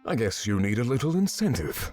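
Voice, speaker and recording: An actor playing a stern, exacting swordsman mentor in a fantasy visual novel game, giving measured, commanding instruction. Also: medium pitch, big pitch swings, darkish tone. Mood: calm, resolute. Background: background music.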